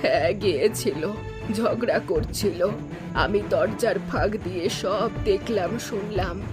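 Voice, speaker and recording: Woman acting a slow, weary old mother-in-law. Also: mid-range voice, music in the background, close to an everyday voice. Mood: guilt-laden. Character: sorrowful ageing mother-in-law burdened by guilt. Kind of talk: Bengali cartoon melodrama voice acting.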